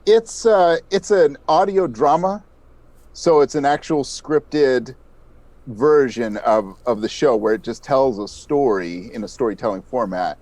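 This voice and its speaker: A man's voice: mid-range voice, unhurried, darkish tone, no echo. A man speaking as an interview guest, explaining things modestly in a conversational way. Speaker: interview guest, audio drama podcast creator.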